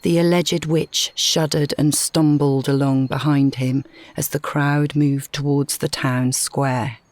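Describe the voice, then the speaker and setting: A woman reading a scripted short story as narrator, in a measured, dramatic storytelling manner. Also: deep voice, little pitch movement, a little mellow, no room sound.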